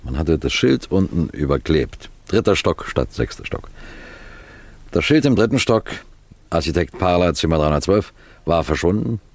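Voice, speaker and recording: A man playing a composed, clever police detective, recounting a case in measured, precise radio mystery narration. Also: sits low, sing-song, heavily acted. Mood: measured, suspenseful. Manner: measured, precise, deliberate storytelling.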